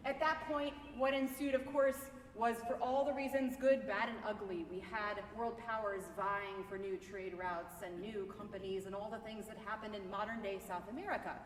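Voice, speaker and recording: A woman harpist giving a chatty, explanatory spoken introduction at a live recital, with a loud voice and "okay?" asides. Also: medium pitch, fairly even intonation, moderate pace, hardly any pauses, slight background noise. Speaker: concert harpist. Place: large reverberant shrine hall, indoors. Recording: echoey hall, voice from far off.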